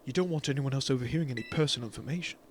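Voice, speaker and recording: A man voicing a polite, gently stern older school director, reading the lines in a soft, formal way while playing a game. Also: mid-range voice, racing, a little hiss or hum, lightly acted.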